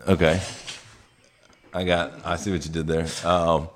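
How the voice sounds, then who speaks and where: A man's voice: low voice, little pitch movement, a little slow, slight background noise. A man chatting casually as a podcast panelist, hesitant and easygoing. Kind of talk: casual chat.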